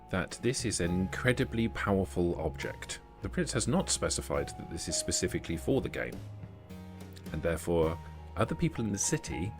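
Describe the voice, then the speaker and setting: A man acting a formal, courteous vampire envoy of a prince in a tabletop roleplaying game, speaking in measured, officious phrases. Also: sits low.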